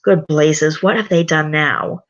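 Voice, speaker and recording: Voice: medium pitch, steady tone, unhurried, lo-fi sound, close to an everyday voice. Headmaster, a stern commanding master, read aloud by a storyteller. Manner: curt, firm, commanding. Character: stern male headmaster who heals and commands.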